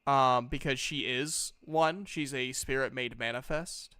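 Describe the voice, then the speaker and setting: A man's voice: mid-range voice, a little slow, sharp tone, clear, resonant tone, dry recording, clean studio sound. A man running a tabletop game as game master, narrating casually with an informal, playful, reassuring manner.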